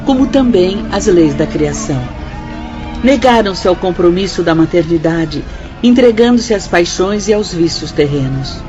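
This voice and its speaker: An actress playing a stern, sorrowful spirit instructor who preaches formally in a radio drama style, with a Brazilian accent. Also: female voice, fairly low pitch, dull audio.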